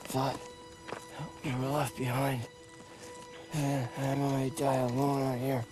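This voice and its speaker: A man playing a weary young American soldier in a video game drama, earnest, with a gravelly voice. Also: medium pitch, monotone, slow pace, music in the background, close to an everyday voice, rough recording. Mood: weak, despairing. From Chicago, United States.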